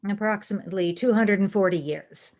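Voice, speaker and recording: A coolly logical female alien science officer, played by a woman in a sci-fi audio drama. She speaks in a mid-pitched voice with formal, measured precision and a deadpan, unemotional manner. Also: lightly acted. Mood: stern, composed. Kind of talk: fan audio drama acting.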